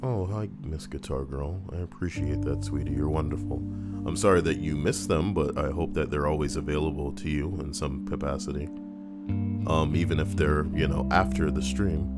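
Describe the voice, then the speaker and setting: A man's voice: rumbling low, steady tone, normal speed. A man reading stories aloud on a livestream, chatting casually and reacting to what he reads. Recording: guitar music playing underneath.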